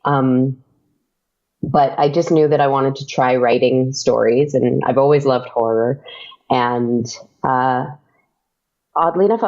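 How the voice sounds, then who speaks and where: A woman's voice: rumbling low, dark tone, muffled recording. A woman speaking casually as an interview guest, thinking aloud in a hesitant way. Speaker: interview guest, writer and podcaster.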